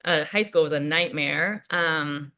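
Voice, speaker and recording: A woman playing a chatty, self-deprecating, awkward college student in a casual, naturalistic play reading, halting and rambling as she goes. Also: low-pitched, bright, forceful tone, full character voice. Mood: rueful, self-mocking. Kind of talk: table read of a play, naturalistic casual acting.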